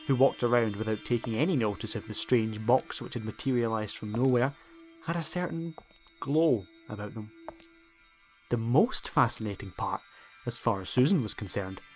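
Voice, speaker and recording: A man narrating a scripted audiobook story in a dramatic storytelling style. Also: medium pitch. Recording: music behind the voice.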